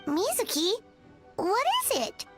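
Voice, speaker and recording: Game casting: tiny blunt fairy girl, extremely high and squeaky, swooping intonation, music underneath. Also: sing-song, slow pace, heavily acted. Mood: alarmed. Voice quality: squeaky, childlike. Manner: exclamatory, speaks of herself in third person. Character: tiny childlike female fairy companion, excitable, blunt. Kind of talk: scripted anime game dialogue. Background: background music.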